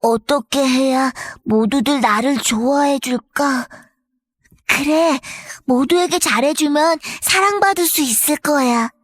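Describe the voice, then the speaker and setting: A sad little ugly duckling. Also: female voice, high voice, unhurried, no room sound. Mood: wistful. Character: sad lonely young male ugly duckling. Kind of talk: voiced children's storybook.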